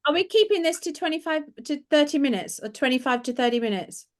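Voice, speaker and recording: High, teasing woman. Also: expressive, fast talker. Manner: teasing, mock-shocked. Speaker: podcast co-host. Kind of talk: casual banter.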